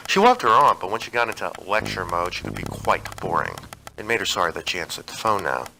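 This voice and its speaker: A man's voice: very animated, fast talker, gravelly, sharp tone. A man narrating scripted fiction as a storyteller. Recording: noisy tape with low rumble.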